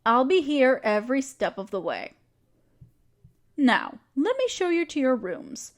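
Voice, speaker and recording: An earnest female necromancer with a grand plan, acted by a woman for a roleplay audio. Her voice sits a little high and moves with very animated intonation. She talks straight to the listener, conversational and explanatory, with a caring, reassuring manner. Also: a little slow. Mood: reassuring, encouraging.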